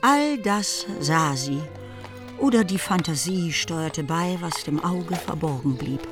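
Woman narrator over clear music. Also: deep voice, animated, slow pace. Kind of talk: scripted literary narration in a radio drama.

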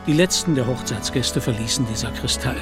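An actor as the grave narrator of a literary radio drama, speaking in a measured, deliberate way. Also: male voice, medium pitch, over music, close to an everyday voice. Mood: measured, solemn. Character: grave, unhurried storyteller of a literary tale.

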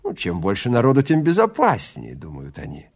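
A man playing a suave, menacing film director who speaks in an unhurried, theatrical, insinuating way with pauses between phrases. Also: lowish voice, wildly swooping pitch, creaky, voice pushed down, full character voice. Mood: smooth, veiled menace. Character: suave, menacing male film director, calmly toying with his prey.

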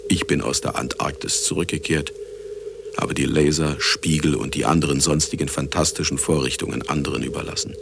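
Radio drama casting: jaded older male scientist, deep and creaky, wry, dismissive, reflective, music underneath. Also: fairly even intonation, fairly quick, background noise. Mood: wry, resigned. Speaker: actor and first-person narrator in a radio play. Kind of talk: radio play acting, narrating to the listener. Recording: studio radio play with music behind.

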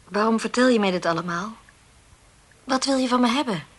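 A woman's voice: on the high side, fairly quick, close to an everyday voice. An actress playing a wary but defiant woman harassed by a caller in a radio play, answering curtly and indignantly. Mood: alarmed, indignant. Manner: curt, indignant.

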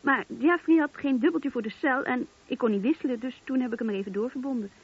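A woman's voice: high-pitched, fast talker, husky. An actress playing a chatty, eager switchboard operator who thinks aloud as she talks in a radio play. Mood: eager, recalling. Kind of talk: Dutch radio drama dialogue. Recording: thin phone-like old radio recording.

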